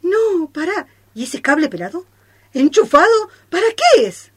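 Woman doing alarmed plaintive test subject, argentine, super high voice, radio drama, really animated. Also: unhurried, exaggerated voice. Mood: pleading, alarmed. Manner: plaintive, panicky. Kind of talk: radio play acting.

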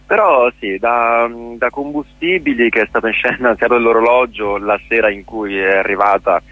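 A man being interviewed as an actor on a radio programme. He explains things haltingly, reaching for his words, in an ordinary mid-pitched voice. The sound is very dry and full-band. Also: no room sound, phone-quality sound. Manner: hesitant, halting, searching for words.

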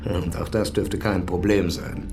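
An actor playing a composed, determined police commissaire in a German-language radio drama. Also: male voice, low-pitched, steady tone, measured pace, over music.

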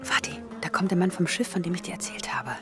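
An actress playing an eager, impressionable young woman, an engineer's daughter, in a German radio drama, slipping into hushed, impulsive asides. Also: on the low side, fast, whispered, lightly acted. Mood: hushed, agitated. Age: young adult. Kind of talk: German radio drama acting with inner monologue. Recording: studio radio drama with music underneath.

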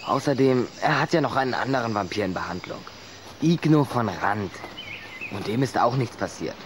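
A man's voice: mid-range voice, lively intonation, moderate pace, natural voice. Earnest, patient schoolboy played by a male, noisy backdrop. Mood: reassuring. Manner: patient, persuasive. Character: earnest, reassuring schoolboy, friend of a vampire. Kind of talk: German children's audio drama acting.

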